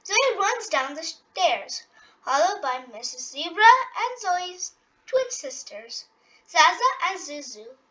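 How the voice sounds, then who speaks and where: A reader telling a children's picture book aloud with expressive, deliberate phrasing, as if for young listeners. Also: very high voice, rough recording. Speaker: storybook reader.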